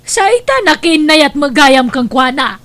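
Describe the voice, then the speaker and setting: A woman's voice: high voice, big pitch swings, measured pace, fairly bright tone, a little hiss or hum, exaggerated voice. An actress playing an indignant, incredulous mother in a comedy drama, speaking in exclamations. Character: indignant, incredulous mother and scolding younger sister. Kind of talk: Ilocano comedy drama acting.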